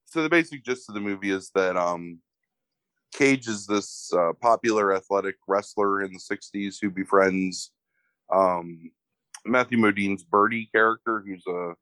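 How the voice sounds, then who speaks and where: A young man, congested, describing a movie in a casual, halting way full of fillers. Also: fairly low pitch, a bit bright, no echo, rough recording. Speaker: movie podcast co-host. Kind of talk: casual film review chat.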